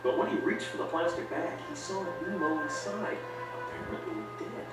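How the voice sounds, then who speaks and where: A man's voice: fairly high pitch, expressive, normal speed. A man reading a children's read-along story as a scripted narration. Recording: echoey, distant, with loud music. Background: loud music.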